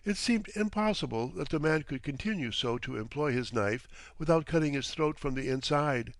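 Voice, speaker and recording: A male narrator reads literary fiction with deliberate, dramatic phrasing. His intonation moves widely and expressively. The sound is dry and close, with no room around it. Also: fairly high pitch, animated, no echo. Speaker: audiobook narrator.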